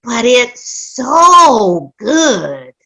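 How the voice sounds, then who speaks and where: A woman's voice: on the high side, sing-song, drawn-out words, bright, forceful tone, exaggerated voice. A woman playing a giddy old lady in a comic play reading, giggly and whiny about sweets, over a video call. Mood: craving, blissful. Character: giddy old woman, Jean, newly spry, craving treats. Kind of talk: virtual play reading, comedy. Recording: dull video-call sound.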